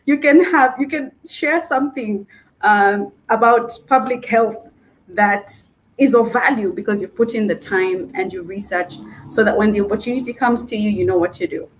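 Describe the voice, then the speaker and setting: A woman's voice: medium pitch, low-quality recording. A woman hosting a livestream in an informal, effusive and enthusiastic way. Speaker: livestream host.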